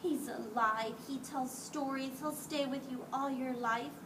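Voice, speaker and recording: A woman's voice: high voice, fairly even intonation, measured pace, echoey room. A woman reading the part of a young girl in a storybook, dramatic, emphatic and indignant. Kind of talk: storybook character voice. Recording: distant mic, clearly noisy background.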